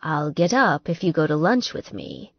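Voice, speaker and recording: A woman's voice: low-pitched, very animated, moderate pace, slightly rough, dry recording, muffled recording, exaggerated voice. A woman voicing a contrite, determined man, the teasing hero of an audiobook romance, earnest and coaxing. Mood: pleading, teasing.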